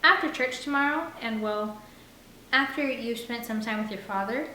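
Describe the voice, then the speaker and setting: A woman's voice: on the high side, normal speed, pushed and bright. Woman reading as an awkward, smitten teenage boy. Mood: hopeful, casual. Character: awkward, smitten Southern teenage boy, trying to sound casual. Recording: roomy echo with faint background noise.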